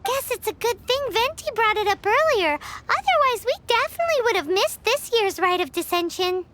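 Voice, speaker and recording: A tiny chirpy fairy girl chatters in a very high, bouncy voice that leaps up and down in pitch. She sounds eager and bubbly, like a game companion. Steady hiss sits under the game audio. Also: a little fast. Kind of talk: video game dialogue.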